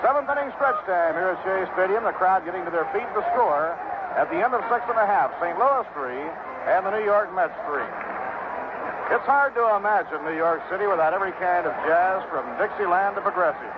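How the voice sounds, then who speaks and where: A man's voice: high-pitched, animated, a little fast, narrow, tinny audio. An American man calling baseball play-by-play in the style of 1960s radio commentary. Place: outdoor ballpark broadcast position. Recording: thin, very noisy vintage radio broadcast. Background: heavy noise and stadium ambience.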